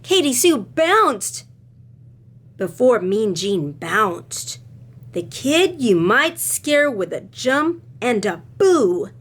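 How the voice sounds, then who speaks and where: A woman's voice: a little high, big pitch swings, drawn-out words, breathy edge. A woman reading a picture book aloud to children like a teacher, speaking to them directly with dramatic emphasis. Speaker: read-aloud storyteller, teacher-like.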